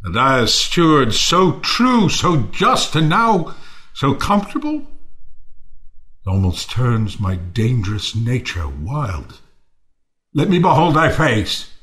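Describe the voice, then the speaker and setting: Volatile, embittered Shakespearean lord. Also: male voice, mid-range voice, exaggerated voice. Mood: bitter wonder. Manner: declamatory, volatile, swinging from murmurs to shouts. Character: embittered, misanthropic, wild-tempered ruined lord cursing mankind. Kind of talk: Shakespearean stage-style audio drama acting.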